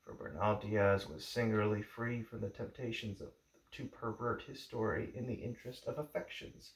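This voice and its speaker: Low, creaky-voiced man reading aloud. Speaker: amateur audiobook reader. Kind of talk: book introduction read aloud. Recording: roomy, echoing home recording.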